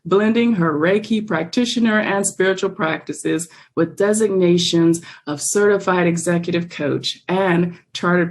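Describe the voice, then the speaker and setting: Affirming woman podcast host. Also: lowish voice. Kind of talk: scripted guest introduction and interview.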